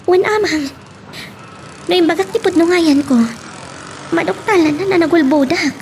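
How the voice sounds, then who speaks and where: A woman's voice: very high voice, moderate pace, darkish tone, a little hiss or hum. A woman playing a contrite young granddaughter who confides in her elders, in an Ilocano audio drama. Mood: troubled. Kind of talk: Ilocano radio-style drama acting.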